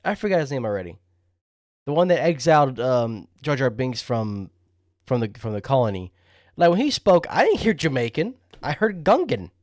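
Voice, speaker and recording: A man's voice: medium pitch, very animated, moderate pace, a little mellow, no room sound, studio quality. A man co-hosting a podcast, easygoing and laughing, casually making his points. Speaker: podcast co-host. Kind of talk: casual chat.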